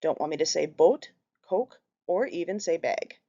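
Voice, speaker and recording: Low-voiced Minnesotan woman reading a presentation. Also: animated, normal speed, warm tone. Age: 30s. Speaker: student presenter. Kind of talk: read class presentation. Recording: slightly muffled lo-fi recording.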